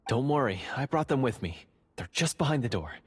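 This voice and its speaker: Husky secretive dungeon guard. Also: male voice, mid-range voice, animated, a little fast. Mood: urgent. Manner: hushed, urgent, earnest. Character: secretive male dungeon guard, covert hunter ally. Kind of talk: game voice acting.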